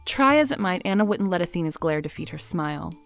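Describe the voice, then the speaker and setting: A woman reading scripted narration for an audio drama, in a lively, expressive way. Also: lowish voice, quick pace, faint music behind.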